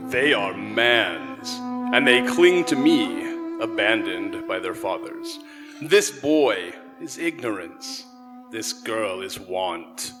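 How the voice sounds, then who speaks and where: A solemn, foreboding spirit acted by a man in a radio play. The delivery is slow, declamatory and grave. It rings through a long church reverb over music. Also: mid-range voice. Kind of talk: live radio play acting.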